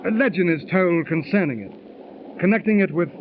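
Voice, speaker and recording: A superstitious English gambler with a high, lively, clipped upper-class English accent and a jaunty manner. The sound is thin and tinny like a 1930s radio transcription, with faint hiss. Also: male voice, moderate pace. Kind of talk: 1930s radio drama acting.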